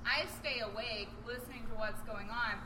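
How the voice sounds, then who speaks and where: A woman's voice: fairly high pitch, steady tone, moderate pace, roomy sound. A woman, a teacher, telling an amused story in casual chat. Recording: distant from mic, crowd audible.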